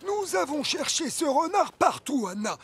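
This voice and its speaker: A man's voice: very high-pitched, sing-song, normal speed, a bit bright, heavily acted. A man voicing a self-important, hapless scout leader, boastful yet flustered, in a children's cartoon dub. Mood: reluctant, boastful. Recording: music clearly under the voice.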